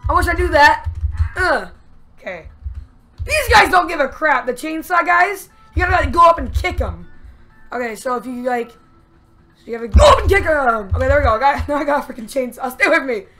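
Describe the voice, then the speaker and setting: A young woman giving excited gameplay commentary with a strained, worn voice, yelping and laughing at the action. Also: sits high, a little fast. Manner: yelps and shrieks at the action, laughs a lot. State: strained, losing her voice. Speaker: let's play gamer. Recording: faint game music behind.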